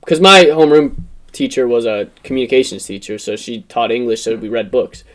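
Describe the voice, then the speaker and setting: A young American man telling stories in a rambling, animated, swearing way as a podcast co-host in casual chat. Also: mid-range voice, fairly quick. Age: young adult. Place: in person with co-hosts.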